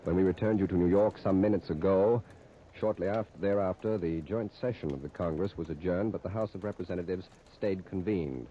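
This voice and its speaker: A man announcing live radio news in formal, measured 1930s style. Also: sits low. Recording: muffled, noisy 1939 radio disc recording.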